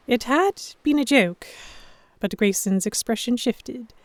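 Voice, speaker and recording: A woman's voice: mid-range voice, darkish tone, no room sound. A woman narrating an audio drama in the first person and acting out the dialogue. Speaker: narrator and lead actor.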